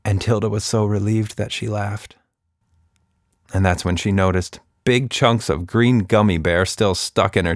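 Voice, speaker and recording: A man's voice: fairly low pitch, no room sound. A man narrating a children's story expressively as a podcast host, recorded close to the mic.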